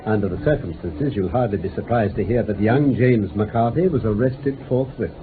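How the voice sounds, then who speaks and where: An actor playing a confident, methodical British detective, speaking precisely and with authority in a radio drama. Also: male voice, fairly low pitch, moderate pace, natural voice. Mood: explaining. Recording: muffled noisy vintage radio recording.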